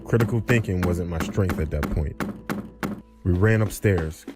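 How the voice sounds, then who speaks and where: A man's voice: deep voice, measured pace, loud music behind the voice. A man narrating a scripted horror story.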